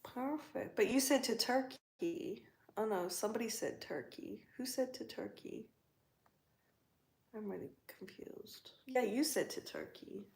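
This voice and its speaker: Slow, gravelly woman livestreaming. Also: fairly high pitch, expressive, a little mellow, echoey room. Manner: chatty, teasing, talks to viewers' comments. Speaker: livestream host. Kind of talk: casual livestream chat.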